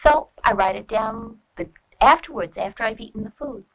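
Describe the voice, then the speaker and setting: A woman's voice: fairly high pitch, moderate pace, poor audio. A woman talking casually and reflectively about her own experience in a documentary interview. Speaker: dieter giving a testimonial. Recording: 1970s documentary film soundtrack.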